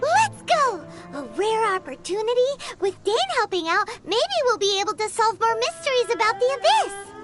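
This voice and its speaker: Very squeaky little fairy girl. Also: big pitch swings, normal speed, over loud music. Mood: excited. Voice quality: squeaky, childlike. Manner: exclamatory, wide-eyed, eager. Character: tiny excitable, naive girl fairy companion. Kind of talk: anime-style video game acting.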